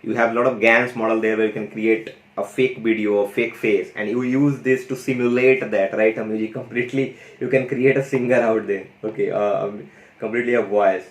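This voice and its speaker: A man's voice: fairly low pitch, steady tone, a little fast. A man running a casual, enthusiastic tutorial on an AI audio tool, often saying "you know" and "guys". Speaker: tech YouTuber demonstrating an AI audio tool. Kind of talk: casual screen-share tutorial. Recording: roomy echo.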